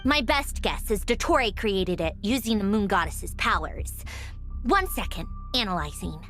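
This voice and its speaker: A woman playing a sharp, blunt, analytical young woman in a fantasy video game. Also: mid-range voice, a little slow, soft background music. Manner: animated, blunt, sardonic.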